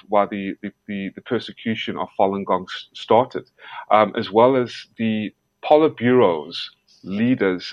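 A man's voice: on the low side, no room sound. A man from South Africa, a guest investigative journalist, answering interview questions earnestly and emphatically, often stumbling and repeating words. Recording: dull, dry lo-fi sound.

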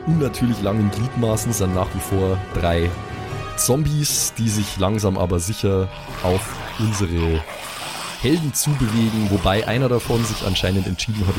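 Low-voiced male game master over music. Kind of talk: improvised narration and casual banter.

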